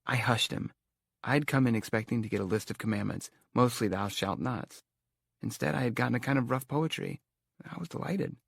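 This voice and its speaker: A man's voice: mid-range voice, a little fast, creaky, a bit bright, breathy edge, no room sound, clean studio sound. A man reading a first-person story as an audiobook, in a reflective storytelling manner. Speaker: audiobook narrator. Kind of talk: scripted audiobook narration.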